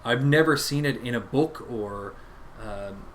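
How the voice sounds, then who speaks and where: A man's voice: medium pitch, measured pace. A young man with a Newfoundland accent co-hosting a casual chat show, earnest and opinionated. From Newfoundland, Canada. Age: young adult. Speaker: podcast co-host.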